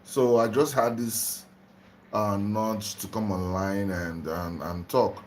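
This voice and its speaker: Slow-talking man from Nigeria, just woken. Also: on the low side, fairly even intonation, darkish tone, slight background noise. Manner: slow, repetitive, greets viewers by name. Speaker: livestreamer. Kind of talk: casual livestream talk on spirituality.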